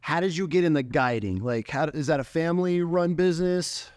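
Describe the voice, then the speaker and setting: A man's voice: on the high side, brisk, a little mellow, no echo, clean studio sound. An American man hosting a podcast, asking questions eagerly in loose, restarting sentences. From United States. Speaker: podcast host, hunting guide. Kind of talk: casual chat.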